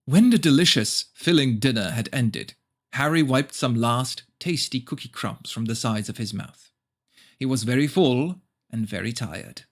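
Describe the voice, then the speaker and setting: A man's voice: mid-range voice, animated, a little slow. A man voicing a prim, pious, self-satisfied mother who narrates her story in a preachy, cloying way. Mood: earnest, preachy.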